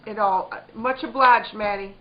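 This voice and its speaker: An actress playing a careworn, protective rural wife, fretful and earnest in plain country dialect, in a radio play. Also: female voice, medium pitch, unhurried, lightly acted. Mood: anxious. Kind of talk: theatrical radio-play acting. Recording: dull, noisy lo-fi recording.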